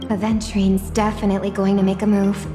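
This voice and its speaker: A woman voicing a calm, thoughtful young woman in a video game cutscene, speaking gently. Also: mid-range voice, fairly quick, natural voice. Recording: studio voice with long reverb and music.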